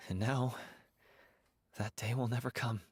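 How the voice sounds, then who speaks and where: A man's voice: medium pitch, fairly even intonation, slight background noise, natural voice. Man acting an earnest, heartbroken troupe writer. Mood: sorrowful, resolute. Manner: earnest, dramatic pauses. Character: heartbroken, determined male theater troupe writer in love.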